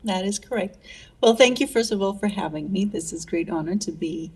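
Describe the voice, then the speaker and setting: A woman's voice: medium pitch, fairly quick, a little mellow, recorded from a distance. A middle-aged woman, a neurologist answering interview questions in a friendly way with many fillers. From Greece. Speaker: neurologist guest.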